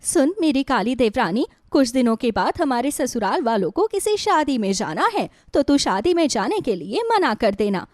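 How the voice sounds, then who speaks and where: Haughty, high-voiced young sister-in-law, acted by a woman. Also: big pitch swings, moderate pace, hardly any pauses, darkish tone, no room sound, studio quality. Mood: haughty, commanding. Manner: bossy, taunting, scornful. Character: haughty, cruel young elder sister-in-law, bossy and scornful. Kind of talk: dramatized Hindi moral storybook.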